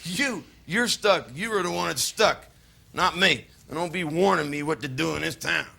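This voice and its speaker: A cocky, hot-tempered drifter and older brother in a stage drama. His American voice is high for a man and extremely bright and forceful. He talks in a folksy, ungrammatical way, boasting and bullying. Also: moderate pace, gravelly, full character voice. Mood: cocky, menacing. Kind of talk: filmed stage play acting.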